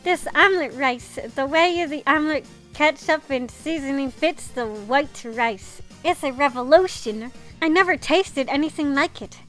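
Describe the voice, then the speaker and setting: A woman's voice: sits high, a little slow, hardly any pauses, fairly bright tone, soft background music. A woman voicing a devoted, earnestly gushing schoolgirl idol fan in an anime visual novel.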